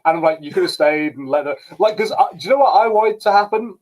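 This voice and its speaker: A man with a slightly high voice for a male, speaking as a podcast panelist. He argues his opinions emphatically in loose, casual talk and slips into mock dialogue to quote others. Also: animated, fast, darkish tone, rough recording. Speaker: podcast panelist discussing a show. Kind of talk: casual opinionated group discussion.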